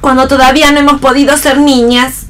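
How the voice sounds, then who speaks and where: A woman's voice: high voice, flat delivery, a little fast, fairly bright tone. A woman acting an anguished, determined young daughter in a radio play, with solemn theatrical delivery. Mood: yearning.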